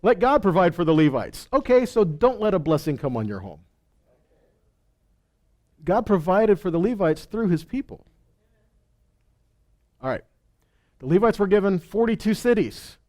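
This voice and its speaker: Male Bible teacher, notably high register, conversational sermon delivery, didactic, asks and answers his own questions. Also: big pitch swings, moderate pace, long pauses, a little mellow.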